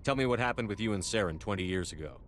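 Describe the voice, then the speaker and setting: An actor playing a resolute young military commander in a sci-fi video game, direct and matter-of-fact. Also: male voice, lowish voice, animated, quick pace, a bit bright, a little hiss or hum. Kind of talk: video game drama acting.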